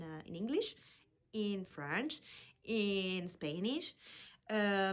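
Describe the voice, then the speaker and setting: Woman in her 30s, language teacher intro, earnest, stops and restarts while finding her words. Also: mid-range voice. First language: Italian. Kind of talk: unscripted self-introduction to students. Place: indoor room with books.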